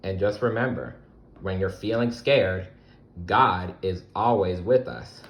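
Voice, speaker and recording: A man reading a children's story aloud in a gentle, expressive way, speaking directly to young listeners. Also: deep voice, slow pace. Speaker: storybook reader. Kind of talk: animated children's read-aloud.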